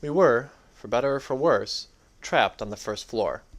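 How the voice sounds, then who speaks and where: A man's voice: medium pitch, animated, moderate pace. A young adult man giving a dramatic reading of his own first-person story.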